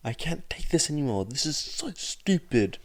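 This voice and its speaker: A man voicing an anguished, furious teenage boy hero student who shouts bitter, sarcastic lines. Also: fairly high pitch, sing-song, moderate pace, dry recording, voice pushed up.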